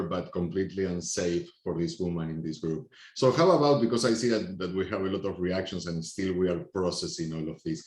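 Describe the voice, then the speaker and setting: Facilitator: Argentinian man, very low voice, apologetic and halting, on a video call. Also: a little mellow, roomy sound. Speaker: workshop facilitator. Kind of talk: online workshop discussion.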